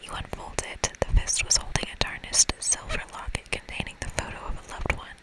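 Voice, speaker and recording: A woman's voice: fairly quick, low-quality recording. Whispering woman, ASMR game playthrough. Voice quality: breathy, unvoiced whisper. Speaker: ASMR gaming host.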